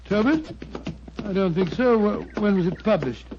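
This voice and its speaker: An actor playing Doctor Watson as an elderly, genial British gentleman, avuncular and a little hesitant, in 1940s radio drama style. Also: high voice, expressive, moderate pace, background noise. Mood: earnest. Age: older. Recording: dull old 1940s radio broadcast.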